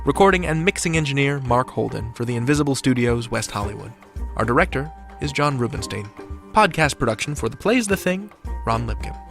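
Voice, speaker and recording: A man's voice: mid-range voice, a bit bright. A man hosting a podcast with scripted narration in a wry, playful manner. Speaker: podcast host.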